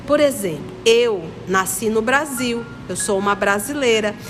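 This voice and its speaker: Higher-voiced Manaus woman teaching children in reverb. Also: expressive, unhurried. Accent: northern Brazilian, Manaus. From Manaus, Amazonas, Brazil. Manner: didactic, repetitive, questioning listeners. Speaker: children's religious study teacher. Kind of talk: explanatory lesson for children. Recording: long reverberant echo, music behind. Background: clear background music.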